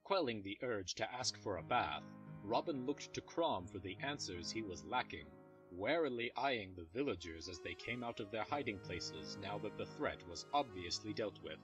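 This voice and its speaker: Male storyteller for scripted audio drama narration; fairly low pitch, music bed underneath. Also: animated, normal speed.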